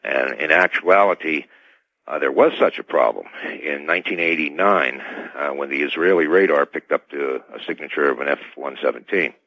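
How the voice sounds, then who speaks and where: A middle-aged man giving formal, halting technical explanations as an expert guest in a radio interview, over a dull band-limited connection. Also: a bass voice, breathy edge, dry recording. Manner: hesitant, formal, technical wording. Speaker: expert guest, scientist and inventor.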